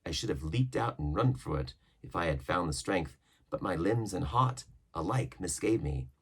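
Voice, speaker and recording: Brief: man narrating a read-along, low gravelly husky voice. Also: expressive, moderate pace, fairly bright tone. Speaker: storybook reader.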